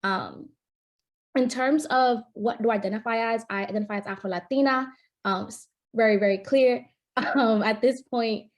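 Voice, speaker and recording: A woman's voice: a little high, moderate pace. A young woman from New York, a guest on a panel, chatting in a friendly, casual way with many fillers. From New York, United States. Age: young adult.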